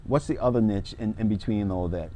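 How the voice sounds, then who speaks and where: A man hosting a casual interview show, chatty and teasing, with quick affirmations. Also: lowish voice, dark tone. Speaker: talk show host.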